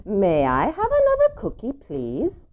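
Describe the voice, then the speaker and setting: A woman's voice: mid-range voice, wildly swooping pitch, measured pace, phone-quality sound. A woman voicing a cute, happy-go-lucky baby duckling, polite and innocent, in a children's storybook. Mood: sweet, happy. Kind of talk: storybook read-aloud for children.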